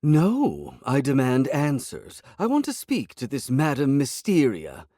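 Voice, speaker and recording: A man's voice: mid-range voice, wildly swooping pitch, measured pace, dark tone, no echo, clean studio sound, voice pushed up. A man voicing a haughty, demanding female talking cat with a snippy, imperious manner, reading an audiobook.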